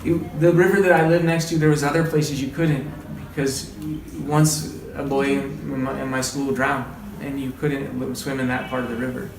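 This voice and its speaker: A man's voice: mid-range voice, little pitch movement, moderate pace, hardly any pauses. Man teaching in a noisy, echoey room. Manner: encouraging, conversational, repeats listeners' answers. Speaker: read-aloud trainer. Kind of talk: interactive read-aloud teaching. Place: indoor group training session. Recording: roomy, distant, clearly noisy room recording.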